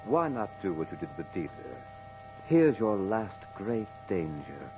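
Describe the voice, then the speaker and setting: An actor playing a sinister phantom tempter, calm and knowing, with measured delivery in 1940s radio horror style. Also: male voice, deep voice. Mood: calm, taunting. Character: sinister male phantom tempter, calm, coldly mocking double. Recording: muffled old radio recording with music.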